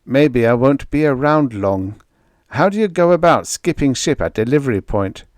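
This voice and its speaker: A man's voice: medium pitch, lively intonation, normal speed, dry recording, studio quality, voice pushed up, lightly acted. A man reading an audiobook, voicing an anxious, impatient family man on a spaceship who talks in an agitated, questioning way. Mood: scheming. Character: anxious, impatient husband and father stowed on a spaceship. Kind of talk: audiobook character voice.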